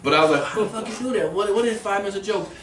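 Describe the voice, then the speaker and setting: Fast-talking, profane comedian in a roomy studio. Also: male voice, high-pitched, slight background noise. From grew up in Germany, then Washington DC. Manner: animated, profane, quick storyteller. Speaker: comedian guest. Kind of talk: casual radio interview storytelling. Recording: roomy, distant mic with faint ambience.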